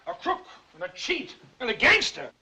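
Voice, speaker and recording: An actor in an old film playing a proud, bitter older brother who shouts when angered. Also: male voice, mid-range voice, lively intonation, a little fast, a little hiss or hum. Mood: furious. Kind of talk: 1940s film acting. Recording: dull old film soundtrack.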